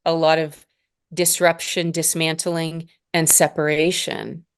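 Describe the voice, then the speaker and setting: A woman in her forties from Northern Alberta, a spiritual mentor speaking as a guest in a slow, measured, reflective way. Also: low-pitched, level tone, clean tone. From Northern Alberta, Canada. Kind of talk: reflective interview conversation.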